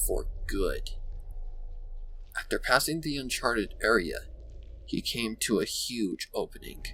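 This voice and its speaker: Male narrator, lowish pitch, forceful bright tone, scripted storytelling, faint background noise. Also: poor audio.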